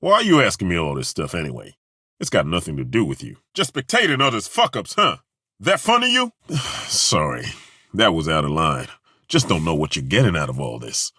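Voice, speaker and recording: A blunt ex-guard in a game, super low husky voice, swears easy, moves around a lot in pitch. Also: male voice, huge pitch swings, a little fast, sharp tone, dry recording, studio quality, full character voice. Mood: defensive, prickly. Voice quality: very deep, commanding voice. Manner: blunt and wry, swears casually. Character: gruff, blunt male ex-temple guard fighter. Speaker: companion in a game. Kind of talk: game voice acting. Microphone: studio microphone.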